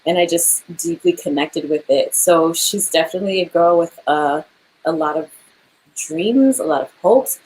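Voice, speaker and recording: A woman's voice: lowish voice, moderate pace, darkish tone, slight background noise, poor audio. A young woman, an actor, chatting casually in an interview, laughing often and filling her speech with "like" and "um".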